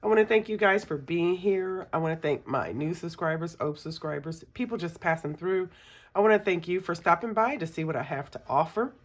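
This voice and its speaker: Low-voiced woman with a scratchy cold voice. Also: a little fast. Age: middle-aged. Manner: chatty, many ums, counts money aloud. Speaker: budgeting channel host. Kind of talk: casual chat while cash stuffing.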